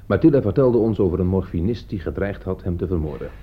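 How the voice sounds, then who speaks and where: An actor in a Dutch radio play playing an opinionated, touchy jurist who argues in a pedantic, scornful way. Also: male voice, low voice, lively intonation, quick pace, husky. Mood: insistent, lecturing. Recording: dull, noisy old radio play recording.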